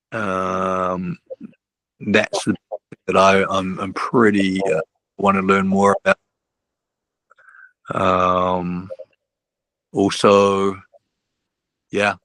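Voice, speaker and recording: A man's voice: low voice, pushed and bright, dry recording, low-quality recording. A middle-aged man, a basketball coach chatting casually in an interview, rambling with many restarts and tag questions. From New Zealand. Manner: rambling, restarts often, ends with "right?".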